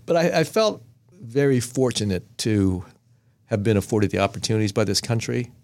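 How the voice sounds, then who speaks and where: A man in his fifties, originally from Vietnam, answering as a podcast guest in a measured, earnest way. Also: fairly low pitch, expressive, darkish tone, no echo. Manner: measured, earnest, pauses between phrases. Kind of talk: reflective interview answers.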